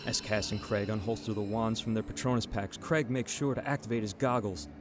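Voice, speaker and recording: A man's voice: lowish voice, steady tone, a little fast. A man narrating a scripted radio drama in a dramatic storytelling manner. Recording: music bed behind the voice.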